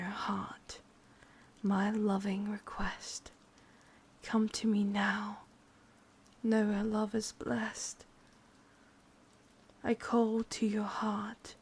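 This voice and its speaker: A woman with an English accent voicing a possessive, sadistic yandere witch in breathy, close-up whispered ASMR roleplay. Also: medium pitch, full character voice. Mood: soothing, coaxing. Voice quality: breathy, husky. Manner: breathy whispering, slow intimate delivery. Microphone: close microphone.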